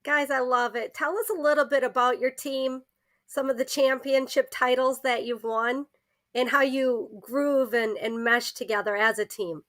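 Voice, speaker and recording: A woman's voice: high-pitched, fairly even intonation, moderate pace, a bit bright. A woman hosting a podcast, welcoming and questioning her guests in a casual way. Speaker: podcast host.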